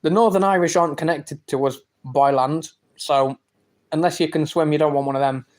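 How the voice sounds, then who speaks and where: Brash English man, slightly high voice. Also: fairly even intonation, fairly quick. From England. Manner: brash, teasing, boastful. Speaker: livestream host reading chat. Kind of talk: casual live-stream banter.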